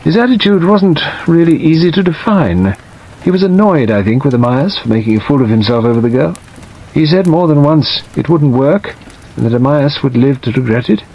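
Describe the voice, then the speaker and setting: A man voicing a weak, hesitant middle-aged gentleman in an audiobook, plaintive and wistful. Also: a little high, breathy edge, pitched above the performer's own voice. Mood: fretful, reflective. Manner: hesitant, halting, self-qualifying. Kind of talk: dramatised audiobook reading. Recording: dull audio under steady rain noise.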